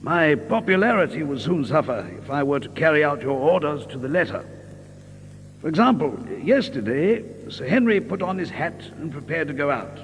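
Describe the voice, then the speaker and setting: Earnest, high-voiced gentleman companion. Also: very animated, normal speed, a little hiss or hum, rough recording. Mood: earnest narrating. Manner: earnest, formal, apologetic when flustered. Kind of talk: audio drama acting and first-person narration.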